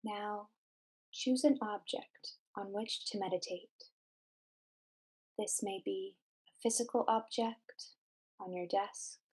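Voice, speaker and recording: Woman, medium pitch, guided meditation narration, measured, deliberate, instructional. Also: low-quality recording.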